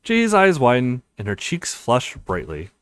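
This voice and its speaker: A man's voice: medium pitch, wildly swooping pitch, a little slow, no room sound, clean studio sound. A man hosting a let's play, talking to viewers and reading visual novel text aloud as narration. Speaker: let's play video host.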